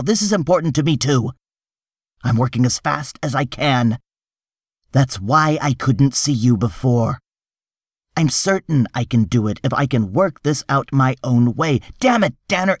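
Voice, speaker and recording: A man's voice: mid-range voice, a little mellow, no echo, clean studio sound. A man voicing an irritable, defensive official in a story reading, insistent and exasperated. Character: tense, defensive, irritable male official making excuses.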